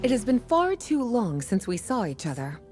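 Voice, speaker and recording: A woman's voice: fairly high pitch, big pitch swings, moderate pace, loud music behind the voice. A woman voicing a sultry, teasing adult librarian witch who speaks in a languid, affectionate way.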